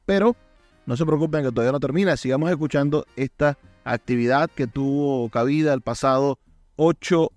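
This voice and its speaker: A Venezuelan man hosts a radio program in a mid-pitched voice. He addresses listeners directly, recorded dry and close with music underneath. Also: a little mellow, no echo. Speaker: radio host. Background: music bed.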